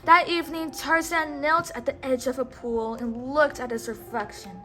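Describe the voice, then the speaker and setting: A woman reading a storybook aloud to a child in an expressive, instructional way, pausing on words to be repeated. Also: high voice, faint music behind. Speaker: storybook read-aloud host. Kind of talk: children's read-aloud narration with call-and-response prompts.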